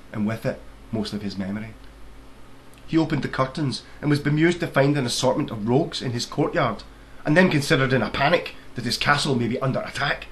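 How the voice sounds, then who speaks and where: A man narrates a storybook as its author, in a mid-pitched voice. The delivery is scripted reading, and there is faint noise behind him. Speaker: author reading own book.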